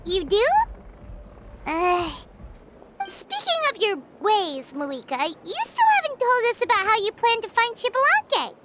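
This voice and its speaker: A woman voicing a tiny, excitable, childlike fairy companion who squeaks, gets indignant easily and talks of herself by name. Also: unhurried, heavy background noise, full character voice. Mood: curious. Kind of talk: game cutscene voice acting.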